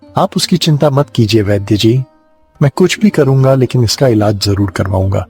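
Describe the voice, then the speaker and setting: Man voicing a husky, earnest poor villager. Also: on the low side, expressive, normal speed, a little mellow, faint music behind, exaggerated voice. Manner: earnest, pleading. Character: kind, humble, earnest poor villager in a cartoon.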